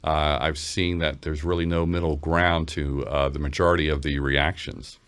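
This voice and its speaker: Guy interviewer with a really deep voice, casual, kinda rambling and halting questions. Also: flat delivery, a bit bright, clear, resonant tone. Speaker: interview host.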